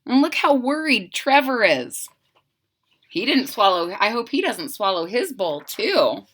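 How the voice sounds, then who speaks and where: Animated, bright, high-pitched woman reading to children. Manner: animated, theatrical, drawn-out emphasis; coaxing, explaining asides to children. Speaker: children's story reader and channel host. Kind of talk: expressive picture-book read-aloud with questions to young listeners.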